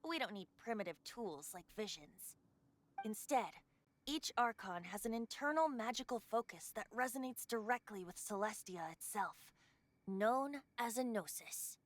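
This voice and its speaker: Woman as boyish ancient wind god, carefree bard, airy and wistful, measured storytelling, video game acting. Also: a little high, animated, close to an everyday voice. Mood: playful, confiding.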